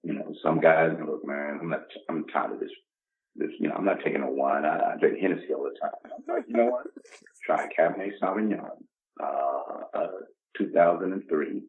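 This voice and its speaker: Very deep-voiced New man in his fifties. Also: normal speed, slight background noise. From the Bronx, New York, United States. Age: 50s. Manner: emphatic, acts out imagined dialogue. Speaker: podcast guest. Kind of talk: casual motivational conversation. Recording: dull, muffled lo-fi recording.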